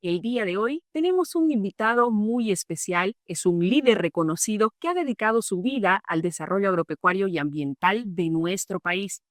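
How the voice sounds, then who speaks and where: A woman's voice: medium pitch, animated, moderate pace, hardly any pauses, no echo, clean studio sound. A woman hosting an interview, speaking in a polite, formal manner as she introduces and questions her guest. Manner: polite, formal, warm-toned welcome. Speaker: interviewer, host.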